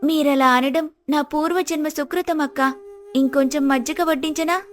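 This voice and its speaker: A woman's voice: sits high, fairly even intonation, normal speed, close to an everyday voice. A woman playing a humble, hospitable poor village wife in a dubbed moral-story cartoon, speaking with pleading politeness. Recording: music behind the voice.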